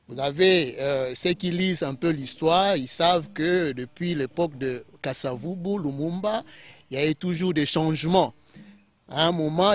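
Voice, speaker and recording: A man from Kinshasa with a Congolese French accent, explaining as an interview guest in a halting way with many self-corrections. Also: fairly high pitch. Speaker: guest political scientist.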